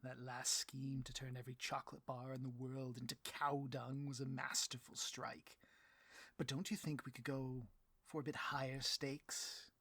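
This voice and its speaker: Supervillain boss for comedy audio roleplay, male actor: hammy, petty, forceful and husky, very dry close recording. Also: mid-range voice, level tone, moderate pace, dry recording. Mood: scheming, smug. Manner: pompous, melodramatic, given to rants.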